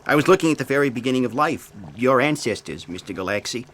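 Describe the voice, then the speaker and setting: A man's voice: medium pitch, animated, fast, a bit bright, a little hiss or hum, close to an everyday voice, rough recording. Man playing an irritable, pedantic scientist. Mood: dry, explaining. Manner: testy, sarcastic, pedantic. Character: irritable, disgraced geologist, a grown son. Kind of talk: comic audio drama acting.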